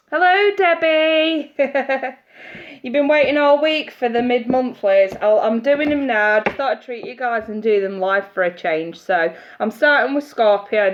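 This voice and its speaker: A woman reading tarot on a live stream, chatty and congested from hay fever, greeting viewers by name. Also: fairly high pitch. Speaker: tarot reader. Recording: muffled audio with steady hiss.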